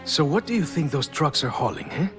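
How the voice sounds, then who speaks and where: Chill young crew dude, kinda high voice, goes along with it. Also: male voice, sing-song, fairly quick, faint music behind. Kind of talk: video game cutscene acting.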